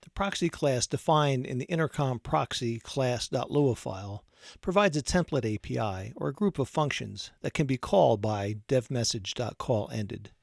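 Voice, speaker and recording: A man delivers scripted instructional narration in a very dry recording. His mid-pitched voice has a noticeable creak. He explains each step methodically and evenly paced, like a technical trainer. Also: animated, moderate pace, dry recording.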